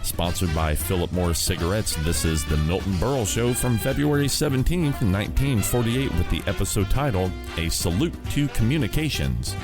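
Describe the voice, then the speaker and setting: A man with a low, deep voice introduces old radio episodes at a steady, measured pace. Guitar music plays clearly behind him. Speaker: podcast host. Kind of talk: retro radio show hosting.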